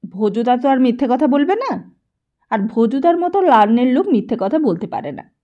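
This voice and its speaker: A woman's voice: high voice, very animated, normal speed, narrow, tinny audio. A woman voicing a pushy, scornful teenage boy who badgers and dismisses in an audiobook story. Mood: indignant.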